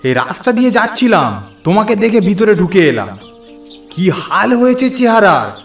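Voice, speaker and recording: A confident, persuasive friend from a horror cartoon. His voice sits high for a man and rings with echo, with soft music behind it. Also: sing-song, normal speed. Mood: brisk, reassuring. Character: lively confident male friend urging a sick man onward. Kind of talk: Bengali horror cartoon voice acting.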